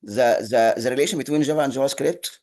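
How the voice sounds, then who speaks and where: Guy teaching a coding class, arabic is his first language, gravelly voice, lots of uh and restarts. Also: mid-range voice, darkish tone. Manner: halting, many fillers and restarts. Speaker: instructor. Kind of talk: online lecture.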